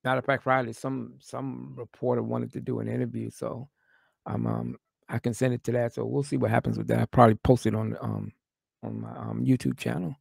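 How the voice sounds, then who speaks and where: A man chatting casually as a guest on a live stream, hoarse from losing his voice and rambling with lots of fillers. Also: medium pitch, level tone, a little fast, a little mellow, dry recording, studio quality. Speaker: guest speaker.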